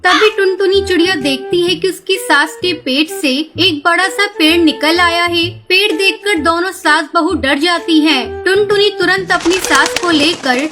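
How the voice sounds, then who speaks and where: Female storyteller for scripted children's cartoon tales, very high voice, music bed underneath. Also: a little slow, hardly any pauses. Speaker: narrator.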